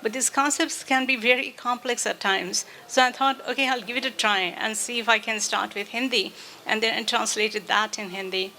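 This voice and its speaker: Female author on a festival panel, thoughtful, halting delivery, bright tone. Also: on the high side, fairly even intonation, rough recording. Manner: reflective, many fillers.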